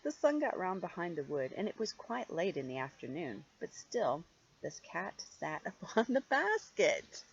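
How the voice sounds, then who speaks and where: Older female storyteller, grandmotherly read-aloud with chatty asides, low pitch, lively swooping intonation, drawn-out emphasis. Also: husky, muffled recording. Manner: expressive, playful, drawn-out emphasis on key words. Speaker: grandmother reading stories to children.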